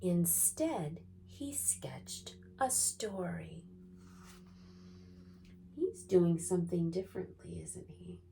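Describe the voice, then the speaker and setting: A woman reading a picture book aloud to young children as an expressive, dramatic storyteller, swinging between hushed and booming delivery. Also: drawn-out words, gravelly, long pauses, slight background noise.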